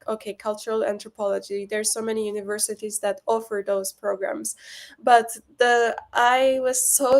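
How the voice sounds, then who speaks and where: Young woman, narrow-pitched webinar guest. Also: darkish tone. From Lebanon. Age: young adult. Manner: casual, frequent fillers and restarts. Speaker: guest speaker. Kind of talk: webinar interview.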